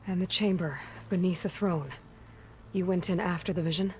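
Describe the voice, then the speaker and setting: A woman's voice: fairly low pitch, steady tone, moderate pace, darkish tone, lightly acted. A young woman playing a self-assured, wary warrior heroine in a video game, direct and dry in delivery. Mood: firm, dry. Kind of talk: naturalistic video game acting.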